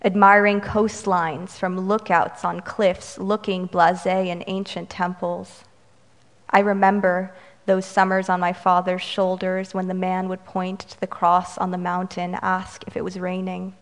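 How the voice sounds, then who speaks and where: A lower-pitched woman poet whose first language is Romanian reads her work at an unhurried pace, then shifts to quick, dry asides. Her voice carries the long echo of a large hall. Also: level tone. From Romanian-American. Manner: measured reading, brisk and wry between poems. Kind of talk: poetry reading with commentary. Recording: reverberant hall.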